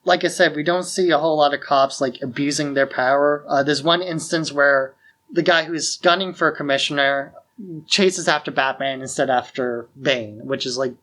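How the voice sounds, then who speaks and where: This is a man with a slightly high-pitched voice, co-hosting a podcast. He talks in a loose, conversational way, as in an informal film discussion. His phrasing is everyday and unscripted. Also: steady tone, moderate pace, a bit bright. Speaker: podcast co-host.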